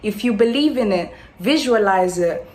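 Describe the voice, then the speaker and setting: A woman's voice: medium pitch, expressive, normal speed, darkish tone, a little hiss or hum. A nineteen-year-old woman born in Angola and raised in Portugal, giving a prepared video testimonial. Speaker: network marketer giving a testimonial. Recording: echoey room sound.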